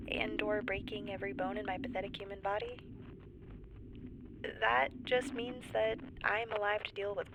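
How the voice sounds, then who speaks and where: A woman playing a nervous stranded geologist in a sci-fi audio drama log, halting and rambling as she tries to stay composed. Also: medium pitch, vocal fry, background noise. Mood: grim, resigned. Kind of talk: audio drama monologue, found-footage log.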